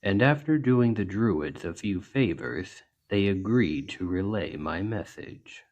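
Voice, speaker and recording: A man voicing a large, gentle orc healer in an ASMR roleplay, speaking in an earnest, measured and reassuring way. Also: fairly low pitch, lively intonation, lo-fi sound. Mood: kindly, calm. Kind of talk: ASMR roleplay, soft storytelling to an injured listener.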